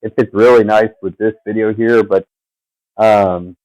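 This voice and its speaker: A man's voice: fairly low pitch, fairly even intonation, a little slow, a little mellow, no room sound, rough recording. A man rambling casually at his computer, drifting off and filling gaps with fillers as he talks over his own video. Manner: meandering, trails off, many fillers. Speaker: vlogger.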